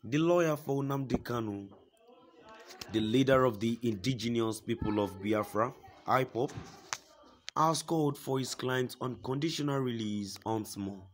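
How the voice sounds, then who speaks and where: A man reading a news report aloud in a steady, formal way, quoting a statement at length. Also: medium pitch, a little slow, slight background noise, low-quality recording. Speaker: news reader.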